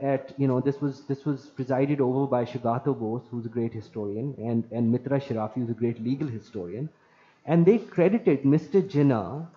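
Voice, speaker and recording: A man from Pakistan, speaking on an online panel in a measured, lecturing way and repeating phrases for emphasis. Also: mid-range voice. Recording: muffled lo-fi audio.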